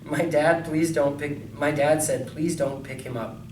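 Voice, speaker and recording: A man's voice: a little high, fairly even intonation, darkish tone, recorded from a distance. A man reads a children's picture book aloud in a conversational way, as a read-aloud to young listeners. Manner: conversational, pausing to comment and question listeners. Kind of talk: storybook read-aloud with casual asides. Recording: echoey room, off mic, faint noise.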